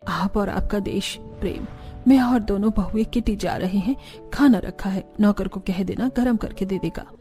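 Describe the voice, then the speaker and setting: Bossy cartoon matriarch, acted by a woman: dismissive, westernized, soft dark timbre, music behind. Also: mid-range voice, normal speed, natural voice. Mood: offhand. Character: dismissive, bossy westernized wife and mother-in-law. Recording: background music under the voice.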